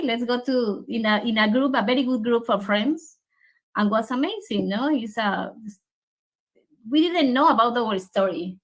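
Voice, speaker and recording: Woman, Mexican Spanish accent, non-native English, halting, laughs easily, video call guest. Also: mid-range voice, poor audio. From Mexico City, Mexico. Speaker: guest, player's mother. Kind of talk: casual interview.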